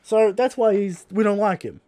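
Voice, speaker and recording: A man's voice: high voice, moderate pace, mellow, dry recording. A man with an Australian accent, co-hosting a podcast in casual chat, blunt and swearing freely as he rants. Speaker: podcast co-host.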